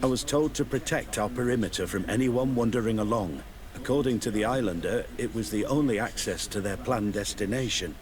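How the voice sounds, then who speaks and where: A man's voice: lowish voice, a little fast, noisy background, close to an everyday voice. An actor playing an anxious, evasive guard in a video game, stammering and deferential as he reports to his superiors. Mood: nervous, explanatory. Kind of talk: video game dialogue acting.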